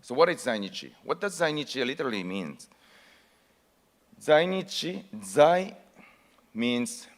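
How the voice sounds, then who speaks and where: A man giving a conference talk as an actor, telling a personal story in a measured way with pauses. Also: medium pitch, very animated, big-room sound. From Japan. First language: Japanese. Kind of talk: prepared conference speech. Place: large conference hall.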